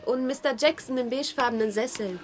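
A woman's voice: high voice, fast, lightly acted. An actress playing a courteous, poised young woman receiving a guest in a radio drama. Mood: polite, formal. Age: young adult.

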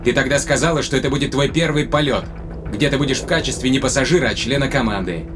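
An actor playing a tender but probing spaceship captain in a Russian audio drama, speaking conversationally with deliberate pauses. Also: male voice, medium pitch, over music, lightly acted. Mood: probing, grave. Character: male spaceship captain, long-lived, tenderly probing his companion.

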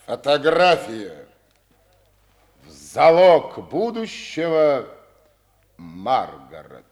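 A man's voice: fairly high pitch, sing-song, very slow, darkish tone, heavily acted. An actor in a radio drama playing a rich man's associate, speaking in a theatrical, mocking way. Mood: mocking, surprised.

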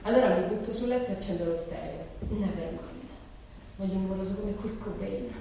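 A woman's voice: mid-range voice, brisk, mellow, distant mic, lightly acted. A woman playing a curious, outspoken teenage schoolgirl in a dramatic monologue, colloquial and incredulous. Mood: musing. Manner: colloquial, incredulous, self-questioning. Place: large reverberant room, distant mic. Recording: echoey hall from afar, noisy.

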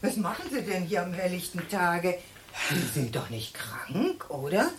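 A woman's voice: lowish voice, big pitch swings, measured pace, noisy background. A woman acting a fussy, solicitous cleaning lady, chattering in a naturalistic radio play. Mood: startled, concerned. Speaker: actress.

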